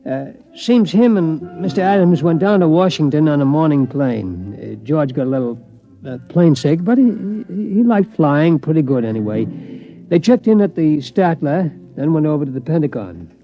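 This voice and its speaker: A man narrating in a folksy, halting way in an old radio drama. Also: fairly high pitch, lively intonation. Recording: dull old radio recording with music.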